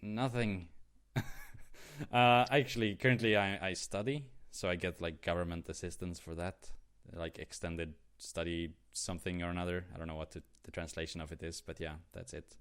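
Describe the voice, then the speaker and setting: A man's voice: low voice, steady tone, moderate pace, fairly bright tone, clean tone. A young man with a Swedish accent, co-hosting a podcast in casual chat, rambling with many fillers and restarts. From Sweden. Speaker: podcast co-host.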